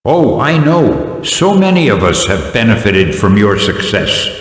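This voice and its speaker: Jovial, folksy game character, male actor: lowish lively voice, wisecracking, echoey recording. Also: a little slow. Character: chatty, genial, wisecracking friend to superheroes. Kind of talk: fan-made video game voice acting. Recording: echoey, long reverb.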